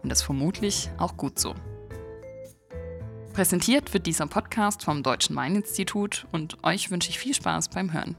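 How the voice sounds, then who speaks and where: A woman hosting a podcast, reading a scripted introduction and then chatting casually with her guest, cheerful and quick to laugh. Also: on the low side, expressive, gravelly, soft background music. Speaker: podcast host.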